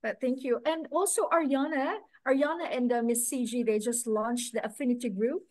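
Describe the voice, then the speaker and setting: A woman's voice: high voice, moderate pace, darkish tone, no echo, clean studio sound. A woman hosting an online alumni meetup over a video call, chatty and friendly, with many fillers. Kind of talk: casual moderating on video call.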